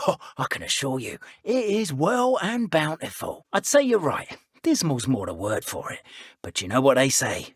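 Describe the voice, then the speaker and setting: A man voicing a pompous, sneering dark wizard villain in a video game, with theatrical, formal, old-fashioned phrasing. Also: medium pitch, slightly rough, no room sound, studio quality.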